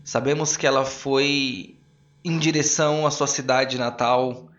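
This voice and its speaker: A man playing a solemn, measured master of an arcane council, formal and authoritative, in a tabletop audio drama. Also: medium pitch, fairly even intonation, fairly bright tone, distant mic, highs cut off, a little hiss or hum, close to an everyday voice. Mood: solemn, firm.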